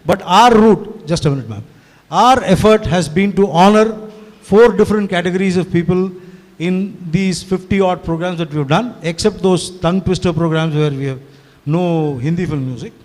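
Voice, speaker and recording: Man, 60, tamil native, fairly high voice, rambling talk, long hall reverb. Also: normal speed, darkish tone. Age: 60s. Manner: rambling, fluent, mixes Hindi and English, frequent "you know". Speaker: event organizer and speaker. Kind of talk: conversational talk to an audience. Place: live event venue.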